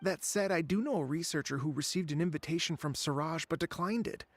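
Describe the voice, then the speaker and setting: A man's voice: on the high side, a little fast, close to an everyday voice. An actor playing an unambitious, chatty young male academic in a video game. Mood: helpful, modest.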